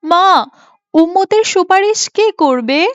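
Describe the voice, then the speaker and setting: A woman's voice: very high-pitched, lively intonation, slow pace, dry recording, pitched above the performer's own voice, exaggerated voice. A woman voicing an innocent, earnest little girl in a cartoon, pious and insistent in a childlike way. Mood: curious. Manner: childlike, insistent, asking questions of her mother. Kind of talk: Islamic moral cartoon voice acting. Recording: muffled, with music behind.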